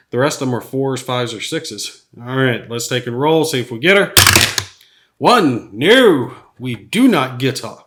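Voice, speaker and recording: A man with a slightly raspy voice from a cold, thinking aloud as he plays a solo board game. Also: mid-range voice, fairly bright tone. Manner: thinks aloud while rolling dice, chuckles at bad rolls.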